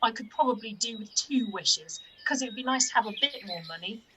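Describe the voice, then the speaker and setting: A woman playing a cheeky, excitable, money-minded young daughter in a radio pantomime. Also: on the high side, fairly quick, a little hiss or hum, poor audio. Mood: wistful.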